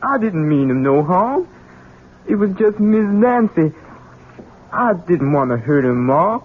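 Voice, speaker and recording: An actor playing a simple-minded, childlike grown son in an American radio drama, speaking in a halting, pleading rustic way. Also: male voice, high-pitched, very animated, heavily acted. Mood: meek, apologetic. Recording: tinny band-limited old radio broadcast.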